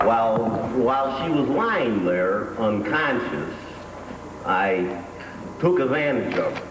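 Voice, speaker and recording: A man telling a joke with slow, deadpan timing on an old noisy recording. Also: medium pitch, echoey room, recorded from a distance.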